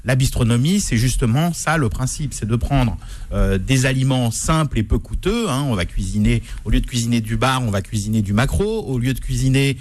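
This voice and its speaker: A man's voice: medium pitch, a little fast. Stammering male food-critic radio host. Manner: stammering, repeats words while thinking. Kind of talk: radio talk show.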